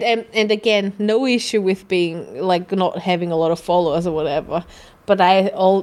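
A woman's voice: medium pitch, darkish tone. Rambling Austrian-accented woman host. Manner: rambling, frequent restarts and swearing. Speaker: podcast co-host. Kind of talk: casual chat.

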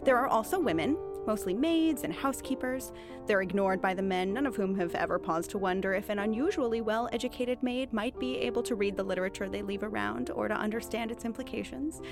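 A woman's voice: medium pitch, a little fast, music in the background, lightly acted. An actress playing a cunning, bookish thief posing as a witch in an audio drama, articulate, mock-courteous and teasingly self-assured. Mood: sly, explanatory. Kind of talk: audio drama acting, period dialogue.

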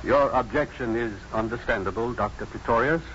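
Actor as measured, proper dean, old radio. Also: male voice, mid-range voice, a bit bright, close to an everyday voice. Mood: formal. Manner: courteous, measured, officious. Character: formal male university dean chairing a hearing, measured, proper. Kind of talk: 1950s radio drama acting. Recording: dull noisy 1950s radio recording.

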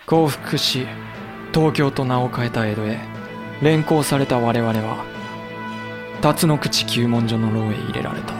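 A young actor playing a loyal samurai officer who narrates soberly and pleads with fiery passion. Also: male voice, medium pitch, a little slow, over music, natural voice. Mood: sober narration. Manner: passionate, rising to near shouting when pleading. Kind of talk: audio drama acting and period narration.

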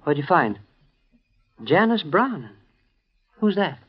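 An actor playing a folksy, nosy small-town American deputy sheriff with a casual manner, in 1950s radio drama style. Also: male voice, on the high side, very animated, slow, slight background noise. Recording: dull vintage radio recording.

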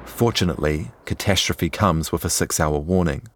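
A man narrates a scripted documentary. His delivery is measured and serious, like long-form journalism read aloud. Soft music sits faintly behind him. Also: low voice.

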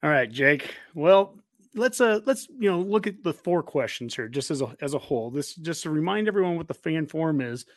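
An American man hosts a podcast in a casual, conversational style. His pitch is slightly high for a man, and the sound is close and dry. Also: lively intonation, fairly quick, no room sound. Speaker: podcast host.

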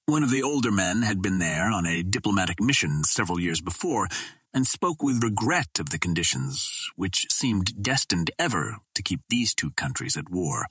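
A man reading an adventure novel aloud as a storyteller, in a measured, formal way. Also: low voice, sing-song, fairly bright tone, husky, dry recording. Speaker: audiobook reader. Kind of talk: scripted literary narration of an adventure novel.

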